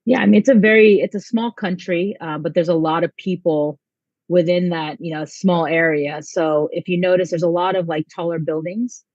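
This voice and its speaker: A woman's voice: lowish voice, fairly even intonation, normal speed, darkish tone, dry recording. Chatty young woman on a dull, dry line. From United States. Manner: chatty, lots of um and like. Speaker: volleyball head coach, guest. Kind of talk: casual interview answers.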